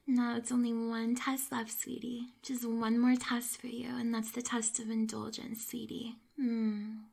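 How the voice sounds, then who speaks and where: Woman whispering real slow and breathy, high voice, soft coaxing roleplay audio. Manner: soft, breathy, often whispering close to the mic. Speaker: erotic audio performer.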